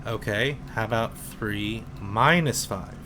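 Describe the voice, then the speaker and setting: Slow-talking young man teaching code. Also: on the low side, background noise. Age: 20s. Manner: slow, relaxed explaining with fillers. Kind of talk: screen-recorded coding tutorial.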